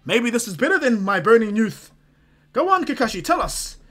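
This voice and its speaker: A man voicing a loud, boisterous adult male ninja obsessed with youth, booming and over the top. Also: sing-song, moderate pace, heavily acted.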